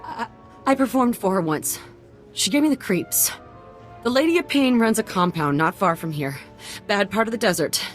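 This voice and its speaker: Woman playing a wary dancer, mid-pitched. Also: expressive, normal speed, faint music behind, natural voice. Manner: casual, wary, matter-of-fact. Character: young female dancer, plain-spoken and wary. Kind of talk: video game voice acting.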